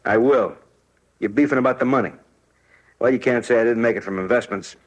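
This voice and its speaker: A man's voice: mid-range voice, a little fast, natural voice. An American actor playing a cocky, defiant male robbery suspect in a 1950s radio crime drama, wisecracking and streetwise. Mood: smug, defiant.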